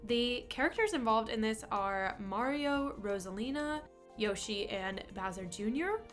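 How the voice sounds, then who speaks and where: A woman's voice: on the high side, unhurried, soft background music. A young woman in her twenties with a congested voice from a cold, chatting fondly and upbeat as she reviews toys for her vlog. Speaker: toy collector vlogger. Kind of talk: casual toy review vlog.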